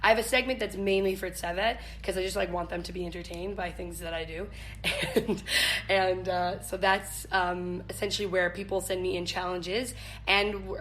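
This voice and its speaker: A woman's voice: mid-range voice, fairly bright tone. An American woman in her twenties chatting casually as an interview guest, with an informal, giggly manner. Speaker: interview guest, camp staff leader. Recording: noticeable background noise.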